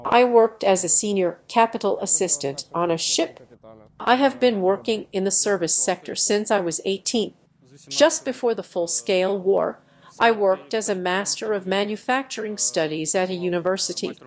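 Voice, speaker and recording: A computer-generated woman's voice dubs the whole video in a mid-range pitch. Her phrasing is stiff and even, with the slightly odd word choices of a machine translation. The voice-over is clean and close. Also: normal speed. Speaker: AI dub voice for every speaker.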